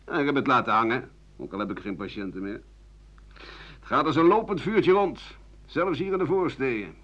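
Sardonic old doctor, embittered, grumbling, theatrical, swooping intonation, muffled old radio recording. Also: male voice, mid-range voice, lively intonation, normal speed, a bit bright. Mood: wry, bitter. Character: bitter, sardonic old French doctor-writer, disgraced and rambling. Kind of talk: 1980 Dutch radio play monologue.

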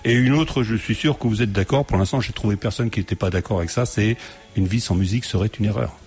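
A man's voice: fairly low pitch, fast talker, a little mellow. A man hosting a live music show in a radio studio, chatty, laughing often and using many fillers.

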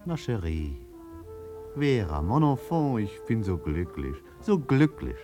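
An actor playing an effusive, flattering French seducer with a French accent in a 1950s German radio play. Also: male voice, lowish voice, takes it slowly, over music, full character voice. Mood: rapturous.

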